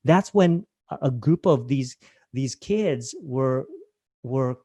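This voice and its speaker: A man's voice: medium pitch, animated, slow pace, dark tone, breathy edge, no room sound. A man from Hawaii presenting a webinar, talking conversationally and asking his audience questions. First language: Filipino language.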